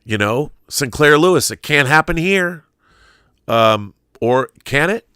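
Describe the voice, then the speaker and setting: An American man hosting a live show, talking conversationally with halting repeats and emphatic stresses as he reads aloud and riffs. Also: a little high, expressive, sharp tone, clean tone, dry recording, clean studio sound. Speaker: show host reading viewer questions live. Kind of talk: conversational live-stream commentary, reading aloud and riffing.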